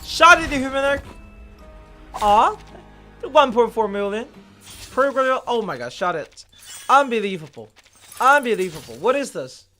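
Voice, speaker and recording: Excitable bright-voiced male game streamer. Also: extremely high pitch, a little slow, long pauses, soft background music. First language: Chinese. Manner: excitable, swears and exclaims at the game. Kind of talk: casual live-stream commentary.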